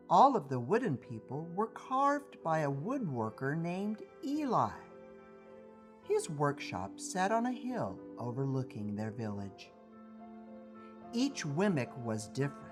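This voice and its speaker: Male children's story reader: animated intonation, unhurried and emphatic, music underneath. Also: a little high. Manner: deliberate, emphatic storytelling with dramatic pauses. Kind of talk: expressive scripted children's story reading. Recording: music behind the voice.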